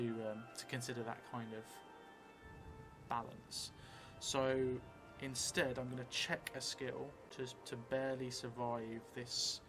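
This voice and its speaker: A middle-aged man narrates a solo roleplaying game, thinking out loud at a slow pace. His delivery is halting and musing, at an average pitch for a man, and music plays clearly behind him. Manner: halting, musing, trailing off as he writes. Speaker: solo tabletop roleplay streamer. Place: indoor theatre room, seated at a table.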